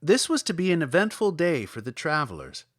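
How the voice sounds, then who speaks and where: Animated male storybook reader, fairly high voice. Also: brisk, no echo, studio quality. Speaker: podcast host and audiobook reader. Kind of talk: expressive storybook narration.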